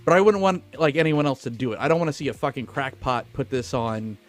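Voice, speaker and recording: A man co-hosting a podcast, talking casually about film in animated, stop-start phrases with some swearing. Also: medium pitch, fast, faint music behind. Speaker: podcast co-host.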